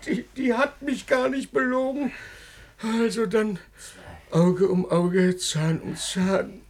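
An actor playing an excitable, pompous and guilt-ridden man in a radio drama, stammering and repeating himself theatrically. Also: high-pitched, takes it slowly. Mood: tearful, hysterical. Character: excitable, guilt-ridden, pompous male anatomy preparator given to melodrama.

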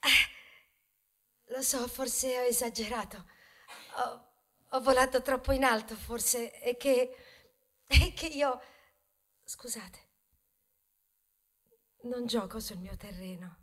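Woman playing a dreamy, high-voiced little grain of wheat. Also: takes it slowly, pauses often, fairly bright tone. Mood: humbled, apologetic. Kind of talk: theatrical monologue in a radio stage ballad.

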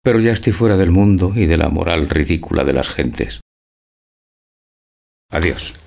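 An actor playing a gentle, dreamy old husband who schemes, declaiming tenderly in a theatrical audio drama. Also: male voice, sits low, expressive, normal speed, creaky. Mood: solemn, secretive. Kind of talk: theatrical radio drama acting.

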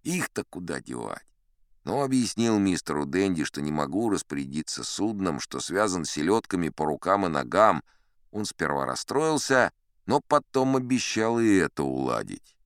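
An actor playing a boastful old sea captain, spinning tall tales in a self-satisfied, chatty storybook narration. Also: male voice, sits low, very animated, moderate pace, no room sound, clean studio sound. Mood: relaxed, confiding. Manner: self-satisfied, conversational raconteur. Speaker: audiobook narrator in character. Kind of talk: theatrical first-person storybook narration.

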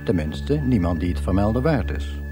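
An actor playing a grandiose, deadpan narrator of a galactic guidebook in a scripted radio comedy. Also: male voice, fairly low pitch, normal speed. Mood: wry, grandiose. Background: music.

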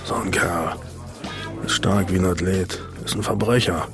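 An actor playing an envious, down-at-heel drinking companion who mutters and grumbles in a German radio drama. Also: male voice, sits low, fairly even intonation, measured pace, gravelly. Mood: envious muttering. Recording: loud music behind the voice.

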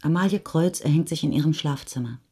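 A woman's voice: deep voice, fairly quick, darkish tone, breathy edge. A woman reading sober literary narration in a matter-of-fact, restrained manner.

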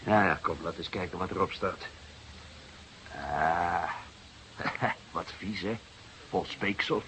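An actor playing a weary, grumbling middle-aged police inspector in a radio drama, gruff and unhurried. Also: male voice, sits low, a bit bright, a little hiss or hum, full character voice, poor audio. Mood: pondering. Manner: gruff, unhurried, with muttered asides.

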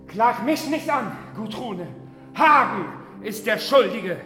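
Panicked brother, high raised voice. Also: male voice, animated, slow pace, bright, forceful tone, heavily acted. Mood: pleading, defensive. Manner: agitated, declamatory. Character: Gunther, panicked, defensive, accusing brother. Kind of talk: fantasy radio drama acting. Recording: echoey long reverb with music.

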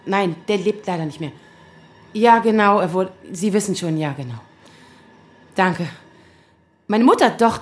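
An actress playing a flustered, easily agitated woman in her thirties in an audio drama, speaking haltingly and naturally. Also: medium pitch, lightly acted. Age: 30s. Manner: halting, stammering, nervous laughs, quick to flare up. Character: flustered, agitated 35-year-old woman lawyer, daughter of Iranian exiles.

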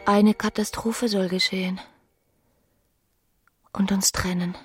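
A woman's voice: mid-range voice, little pitch movement, slow pace, husky, soft background music. An actress playing a passionate, bitter young married woman who broods in a literary radio drama. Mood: weary, bitter. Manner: brooding inner monologue with emotional outbursts.

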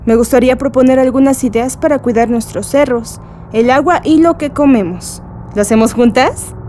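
An actress plays a cheeky young Mexican woman in a radio drama. Her voice is fairly high and her delivery is playful and teasing, with light vehicle noise behind her. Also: warm tone, lightly acted. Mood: excited, hopeful. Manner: playful, teasing, quick to laugh. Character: cheeky, excited young woman graduate coming home.

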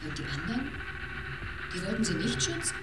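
A man's voice: high voice, sing-song, a little fast, noisy background. An actor playing a terse, probing male questioner who speaks in short, pointed phrases in a radio drama. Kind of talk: German radio drama acting.

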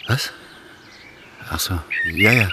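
A man's voice: on the low side, slow pace, heavy background noise. An actor playing an uneasy, easily impressed male accomplice in a German radio drama, with a hesitant manner.

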